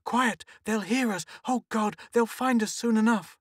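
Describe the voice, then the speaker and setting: Shrill terrified housewife, put on by a man. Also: big pitch swings, a little fast, no echo, studio quality. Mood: desperate pleading. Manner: shrill and pleading. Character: nervous, anxious middle-aged housewife.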